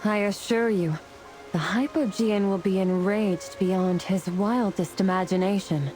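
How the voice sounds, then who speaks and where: A woman's voice: medium pitch, a little slow, a little mellow, natural voice. A woman playing a resolute celestial fire warrior in a fantasy game, speaking in a grave, formal, declamatory way. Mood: confident. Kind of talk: scripted fantasy game voice acting. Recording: music and rain behind the voice. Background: music, rain.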